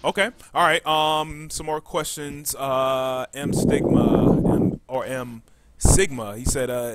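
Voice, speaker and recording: A man with a medium-pitched voice hosts a live gaming talk show. He is casual and hesitant, circling through his phrases as he passes questions along to the guests. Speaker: host relaying questions from chat.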